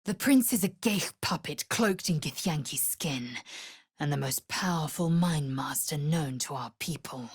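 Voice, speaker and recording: A fierce alien warrior woman from a fantasy game. Her voice is very husky and raspy, and she delivers words slowly and deliberately with proud disdain. The sound is dry and close. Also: low voice, lively intonation, no room sound, exaggerated voice. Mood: haughty, indignant. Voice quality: husky, raspy, breathy edge. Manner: clipped, imperious, disdainful, deliberate. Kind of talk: video game character voice acting.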